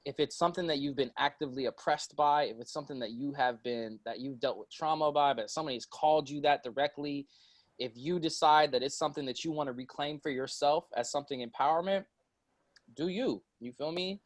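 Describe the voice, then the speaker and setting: A man's voice: fairly high pitch, brisk, no echo. A man speaking animatedly and emphatically on a casual panel, full of slang, "like" and "right?".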